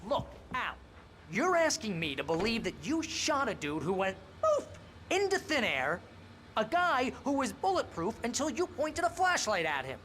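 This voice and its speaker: High-strung, wisecracking American sidekick. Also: male voice, wildly swooping pitch, normal speed, fairly bright tone, a little hiss or hum, full character voice. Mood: exasperated, pleading. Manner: sarcastic, fussing, exasperated. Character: nervous, skeptical, wisecracking best friend and agent. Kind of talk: video game cutscene acting.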